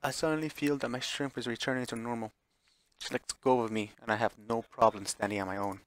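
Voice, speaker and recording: A man's voice: mid-range voice, fairly even intonation, brisk, a bit bright, poor audio. A man reading a visual novel aloud as dramatic narration, sometimes stumbling and repeating phrases. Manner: stumbles over words and re-reads phrases.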